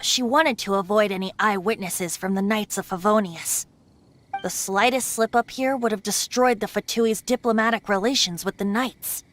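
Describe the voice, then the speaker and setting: A woman's voice: mid-range voice, moderate pace, fairly bright tone, slight background noise, natural voice. A woman voicing a youthful boyish male bard in a video game, a playful and philosophical god with a breezy, sometimes wistful manner. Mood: pondering.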